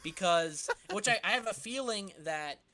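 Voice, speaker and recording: Man, podcast co-host, bright forceful voice a bit on the high side, casual comic book chat. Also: lively intonation, normal speed.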